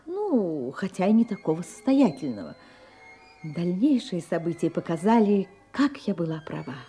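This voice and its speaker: A woman's voice: fairly low pitch, very animated, normal speed, mellow, slightly rough, soft background music, exaggerated voice. An actress playing an indignant older mother with an old grudge, theatrical and fluttery, sighing and repeating her words in an audio play. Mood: dramatic, horrified. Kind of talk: Russian audio drama acting.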